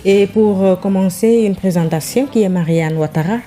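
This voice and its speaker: A woman hosting a radio interview, friendly and quick to laugh while asking probing questions. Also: lowish voice, dark tone, soft background music.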